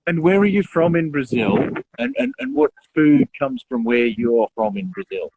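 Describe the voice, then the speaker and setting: A man's voice: mid-range voice, very animated, normal speed, darkish tone, slightly rough, dry recording, rough recording. A man co-hosting a casual video-call chat, asking rambling questions full of fillers. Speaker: co-host.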